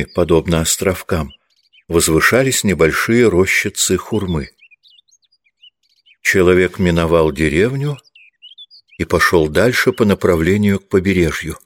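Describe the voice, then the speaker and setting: A man's voice: deep voice, no echo. A man reading literary prose as the scripted narrator of an audiobook.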